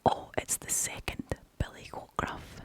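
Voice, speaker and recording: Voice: a little slow, lightly acted. Pleading, whispering middle billy goat , read by a storyteller.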